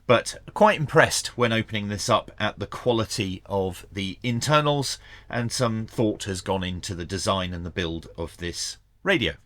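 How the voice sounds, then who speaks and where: A man giving a hands-on tech review in a measured, matter-of-fact way. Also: fairly low pitch, a bit bright, dry recording. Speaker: product reviewer.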